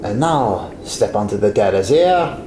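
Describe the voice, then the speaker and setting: A man's voice: lowish voice, expressive, a little slow, roomy sound, noisy background. A man doing a proud French vicomte. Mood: courteous. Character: proud impoverished French nobleman, young man. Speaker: Vicomte de Verlon. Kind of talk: amateur radio drama.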